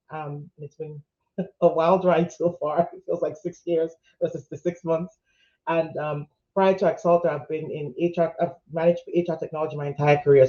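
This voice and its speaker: A man's voice: a little high, dark tone, dull audio. A man in his thirties who grew up in Nigeria, introducing himself on an online panel in an upbeat, chatty way. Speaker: panelist, HR executive.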